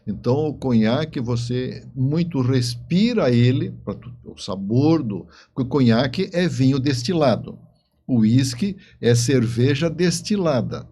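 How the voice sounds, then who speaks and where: A man's voice: medium pitch, animated, a little slow, mellow, breathy edge. A man with a Brazilian accent chatting as a podcast guest, explaining things and often repeating words as he goes. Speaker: guest author. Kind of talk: casual podcast conversation.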